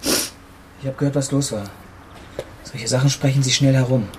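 A man's voice: medium pitch, fairly even intonation, moderate pace, natural voice. Actor as hesitant young man, noisy scene. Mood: friendly. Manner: hesitant, awkward. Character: shy, kindly young man, awkward and hesitant. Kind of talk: German radio crime drama acting.

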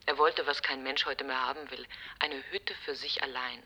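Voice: mid-range voice, level tone, moderate pace, sharp tone, breathy edge, full character voice, poor audio. An actor in a German radio drama playing a casual, faintly mocking housemate answering the telephone in a conversational way. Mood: gently mocking.

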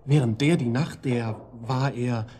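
An actor playing a talkative psychiatric-ward patient informing the police in a German radio drama, rambling and colloquial. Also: male voice, medium pitch, measured pace, warm tone, a little hiss or hum, natural voice. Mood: confiding, suspicious.

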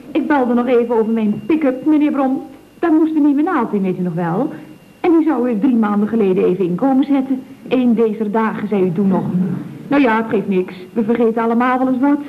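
An actress plays a naive, chatty female secretary in a radio comedy. Her voice sits high and moves up and down in a very lively way, rambling and girlishly guileless. The old recording is thin and tinny, with some noise. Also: lightly acted. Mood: chatty, polite. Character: chatty, naive, cheerfully helpful female office secretary on the telephone. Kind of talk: 1970s Dutch radio comedy acting.

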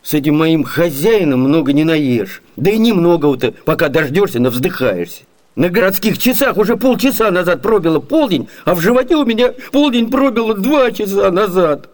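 Radio play role: chatty, scheming, ever-hungry manservant; high male voice, very lively melody, theatrical delivery. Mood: grumbling, plaintive. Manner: sighing, expressive, eager. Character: hungry, wily, chatty comic servant man. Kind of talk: theatrical Soviet radio comedy acting.

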